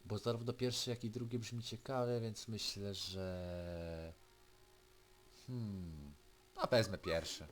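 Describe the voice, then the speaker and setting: A man's voice: fairly low pitch, a little slow, slight background noise. A man with a Polish accent giving casual game commentary, musing and thinking aloud as he plays. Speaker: gaming video host. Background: faint game music.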